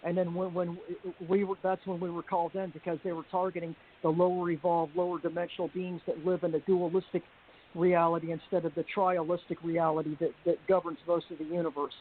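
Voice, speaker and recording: Deep-voiced woman, halting, over a tinny line. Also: little pitch movement. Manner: rambling, halting with frequent restarts and fillers. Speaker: panel guest. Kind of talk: personal account on a group call. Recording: tinny phone-like line with faint hiss.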